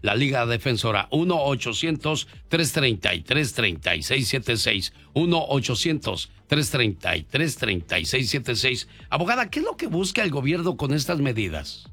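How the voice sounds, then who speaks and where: A man's voice: medium pitch, big pitch swings, moderate pace, hardly any pauses, pushed and bright. A man hosting a radio talk show, joking with his guest and reading out a phone number twice. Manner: jokey, then reads out a phone number twice. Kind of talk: Spanish-language call-in radio talk.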